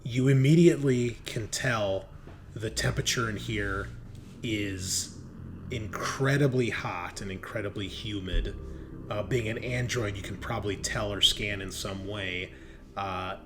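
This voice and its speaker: A man improvising narration as game master of a horror tabletop game, halting with drawn-out pauses as he describes scenes. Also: fairly low pitch, noisy background.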